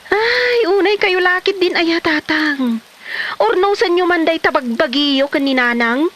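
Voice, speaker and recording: A woman's voice: very high-pitched, expressive, measured pace, fairly bright tone, full character voice. A woman acting an exasperated daughter questioning her quarrelling parents in an Ilocano comedy radio drama. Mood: exasperated, reproachful.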